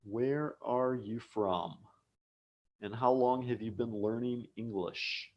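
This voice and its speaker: A man's voice: medium pitch, takes it slowly, dull audio. An American man teaching a live English lesson online, speaking patiently and repeating key words for learners. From United States. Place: home office abroad.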